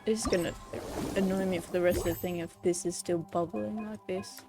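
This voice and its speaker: A woman's voice: fairly low pitch, steady tone, fairly quick, over music. A woman livestreamer chatting casually while gaming, rambling and hesitant, with muttered asides. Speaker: game livestreamer.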